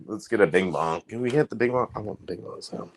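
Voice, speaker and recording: A man with an Indiana accent hosting a casual livestream, talking in a rambling, profane, excitable way. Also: fairly low pitch, quick pace. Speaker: livestream host.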